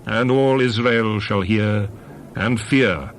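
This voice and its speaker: A man's voice: fairly low pitch, slow, a little hiss or hum. A man giving a dramatized scripted reading of scripture as narrator, in a solemn, declamatory and emphatic manner.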